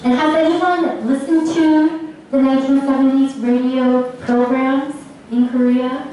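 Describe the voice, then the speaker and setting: A woman lecturer answering audience questions in a tentative, halting, thinking-aloud way. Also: high-pitched, steady tone, mellow. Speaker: lecturer presenting research on radio dramas. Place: echoey indoor lecture room. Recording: echoey room, distant mic, faint noise.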